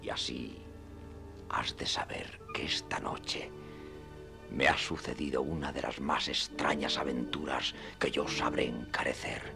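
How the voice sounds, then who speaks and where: A man playing a battered, deluded old knight-errant in a radio drama, speaking pompously in a hushed, confiding, gravelly murmur with archaic grandiloquence. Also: very deep voice, fairly even intonation, a little slow, in a whisper, over music, exaggerated voice. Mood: rapt, confiding. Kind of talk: radio drama acting in archaic literary Spanish.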